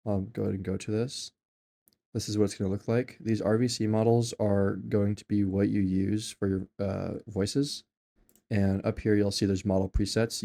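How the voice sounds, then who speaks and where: A low, gravelly man talks through a software setup in a loose, rambling way. His voice is mellow and fairly flat, and he keeps stopping to correct himself. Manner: rambling, offhand, with many ums and self-corrections. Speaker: tutorial maker. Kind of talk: casual screen-recorded software tutorial.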